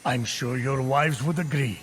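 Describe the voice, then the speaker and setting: An actor playing a stately, wry storyteller narrating Greek myth in a video game. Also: male voice, mid-range voice, unhurried, background noise, natural voice. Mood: wry teasing. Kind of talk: scripted epic narration. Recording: loud music behind the voice. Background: loud music.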